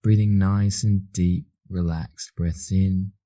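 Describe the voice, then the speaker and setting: An Australian man leading a guided meditation in a soothing manner, leaving long pauses between slow instructions. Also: deep voice, level tone, mellow, no room sound, clean studio sound.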